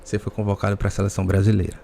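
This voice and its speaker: A man with a fairly low voice and a Bahian Brazilian accent talks as a guest on a podcast. He tells personal stories in a conversational way. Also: monotone, racing, creaky, warm tone. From Salvador area, Bahia, Brazil.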